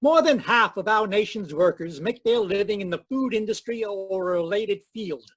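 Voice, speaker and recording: A man performing a 1940s radio network announcer. His voice is high for a man, and he presents in a formal, showy, scripted style. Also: normal speed, breathy edge.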